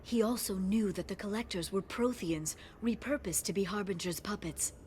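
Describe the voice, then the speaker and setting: A woman playing a gentle, scholarly alien information broker in a video game, speaking softly and earnestly. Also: mid-range voice, moderate pace, slight background noise, lightly acted. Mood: scholarly, thoughtful.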